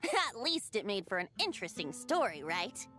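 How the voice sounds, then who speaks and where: A woman's voice: mid-range voice, sing-song, normal speed, sharp tone, faint music behind. A woman voicing an impish, breezy boyish bard in a video game, in a light, playful, teasing manner. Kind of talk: video game character acting.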